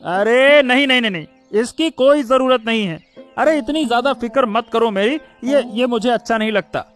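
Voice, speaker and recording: A man's voice: extremely high pitch, moderate pace, a bit bright, faint music behind. A man voicing a greedy, heartless, domineering elder brother in a cartoon story, with a scolding, dismissive manner. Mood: irritated. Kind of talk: Hindi moral-story cartoon dubbing.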